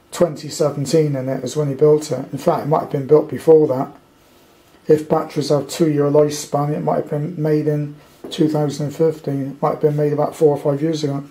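A man's voice: on the high side, warm tone, rough recording. A British man giving a casual, off-the-cuff hobbyist review in a rambling, blunt, colloquial way. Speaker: amateur radio hobbyist reviewing a kit. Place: indoors at a tabletop.

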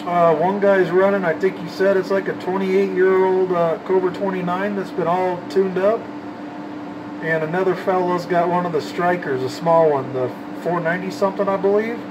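A man's voice: high voice, fairly even intonation, normal speed, lo-fi sound. A man chatting casually in folksy CB radio slang, as an operator showing his setup. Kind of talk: casual radio chat and commentary. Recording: loud vehicle and fan noise.

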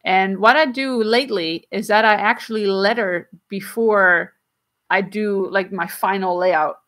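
A woman in her thirties, not a native English speaker, casually answering viewer questions on a livestream from a small home room, talking through a webcam with many "um"s and quick laughs. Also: medium pitch, fairly bright tone. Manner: chatty, frequent "um"s and quick laughs, gestures while explaining. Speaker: comic artist and livestream host. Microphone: webcam at desk distance.

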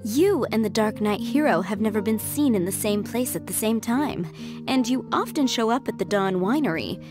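A woman's voice: fairly high pitch, lively intonation, moderate pace, natural voice. A woman voicing a poised, courteous head maid in a video game, formal and subtly dry. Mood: slyly teasing. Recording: game music behind.

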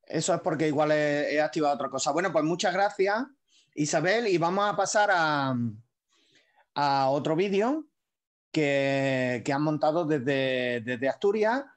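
Middle-aged male host, slightly high voice, relaxed, chatty, webcam audio. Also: a little slow. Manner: easygoing, fumbling with tech aloud. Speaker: host of the online award ceremony. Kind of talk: casual video-call hosting. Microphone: laptop webcam on a video call.

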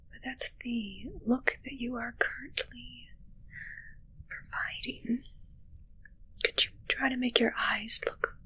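A woman playing a haughty, fussy witch in a whispered ASMR roleplay, murmuring slowly and condescendingly close to the mic. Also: fairly high pitch, sharp tone, full character voice, rough recording. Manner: breathy, slow, condescending murmur. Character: haughty, fussy female witch tending her undead familiar. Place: small dark indoor room with curtains. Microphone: close ASMR microphone.

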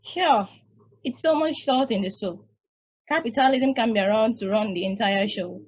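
A woman's voice: fairly high pitch, moderate pace, breathy edge. A woman reading a grumpy, cynical space probe in a table read over a video call, talking in a blunt, dismissive way.

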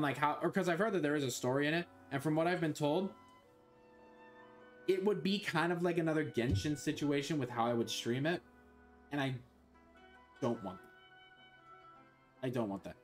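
A young man streaming a video game from his bedroom on a headset mic, rambling, scattered and swearing a lot. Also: a little high. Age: young adult. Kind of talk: casual gameplay commentary.

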